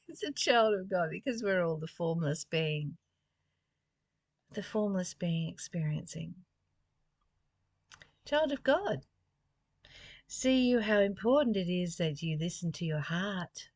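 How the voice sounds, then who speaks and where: Slow-talking, reflective woman, low-pitched. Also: no echo. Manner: reflective, musing, often asking rhetorical questions. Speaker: spiritual study reader and commentator. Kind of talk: slow spiritual reading with informal reflective commentary.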